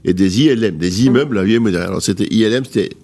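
A man's voice: lowish voice, racing, a little mellow. A middle-aged man speaking emphatically and rhetorically in an opinionated panel discussion, addressing his listeners directly. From born outside France, moved there at eighteen. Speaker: guest commentator on housing policy.

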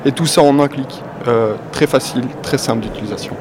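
A man with a Belgian French accent, answering an interviewer as a company guest. He talks with enthusiasm and a sales pitch, with many "euh" fillers. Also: a little fast. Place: event venue, among people. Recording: clear background noise.

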